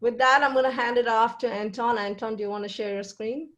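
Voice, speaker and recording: A woman's voice: fairly high pitch, fairly even intonation, brisk, fairly bright tone, lo-fi sound. A woman hosting a webinar and introducing the guest speakers, with frequent fillers and hesitations. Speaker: webinar host, investor.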